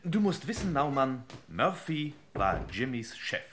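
An actor playing a wry, self-assured detective boss in a 1960s German radio play, droll and deliberate. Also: male voice, mid-range voice, big pitch swings, a bit bright, a little hiss or hum. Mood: droll storytelling. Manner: droll, deliberate, mock storybook narration.

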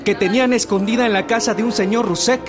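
An actor playing a dutiful, eager revolutionary officer in a historical radio drama. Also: male voice, sits high, fairly even intonation, fast talker, crowd noise, close to an everyday voice. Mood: earnest, urgent. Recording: music behind the voice.

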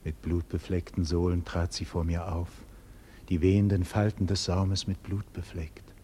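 An actor playing a proud, defiant condemned nobleman in a 1940s radio drama, declaiming solemnly and theatrically with a husky voice. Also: deep voice, little pitch movement, warm tone, slight background noise, natural voice. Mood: hushed, dreamy awe. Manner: solemn, slow, theatrical declamation with wide dynamic swings. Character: condemned heroic nobleman facing execution, proud, visionary, defiant. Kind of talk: 1940s German radio drama acting, classical stage declamation. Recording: 1940s radio recording with long hall reverb.

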